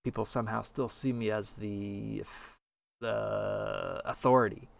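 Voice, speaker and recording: Gravelly-voiced man hosting a podcast. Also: lowish voice, fairly even intonation, unhurried. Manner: wry, meandering, lots of fillers. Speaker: podcast host. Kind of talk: casual podcast chat.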